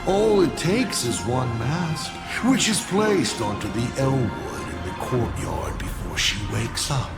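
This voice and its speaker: A theatrical game villain, smug and scheming. He draws his words out slowly and swings widely in pitch as he teases. The voice has a roomy echo, with music playing underneath. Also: lively intonation. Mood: sly, amused. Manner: theatrical, teasing, drawn-out delivery with big swoops. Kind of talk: video game voice acting.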